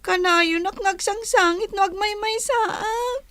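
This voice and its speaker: A woman's voice: very high-pitched, slow pace, a bit bright, dry recording, heavily acted. A woman playing a young schoolgirl, pleading and feisty, in a radio drama. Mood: tearful pleading.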